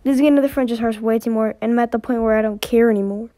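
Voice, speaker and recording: A woman reading character lines for an amateur animated skit. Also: a little high, racing, a little hiss or hum.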